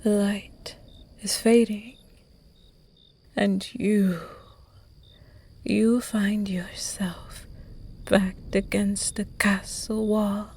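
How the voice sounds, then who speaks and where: A woman voicing a seductive, predatory female vampire who teases her prey in slow, close, breathy whispers in an ASMR roleplay. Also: mid-range voice, flat delivery, darkish tone, full character voice. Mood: seductive teasing.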